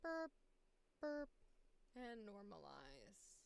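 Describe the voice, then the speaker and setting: A woman's voice: medium pitch, big pitch swings, drawn-out words, creaky. A woman voice actor chatting casually on a livestream, informally thinking aloud as she works.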